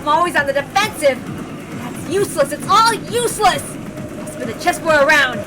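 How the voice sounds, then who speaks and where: A woman voicing a hot-headed young man who is anguished and forceful, in emphatic outbursts, for a visual novel read-aloud. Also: high-pitched, lively intonation, moderate pace, noisy background. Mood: furious, defiant.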